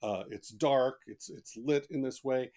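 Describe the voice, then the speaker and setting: A man's voice: medium pitch, measured pace, dark tone. A man speaking as an author guest on a podcast, telling stories in a casual, colloquial and emphatic way. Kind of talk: casual podcast interview, anecdotal storytelling.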